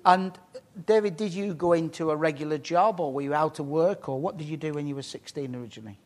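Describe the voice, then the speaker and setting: A man interviewing a guest, asking halting questions with friendly teasing jokes. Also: fairly high pitch.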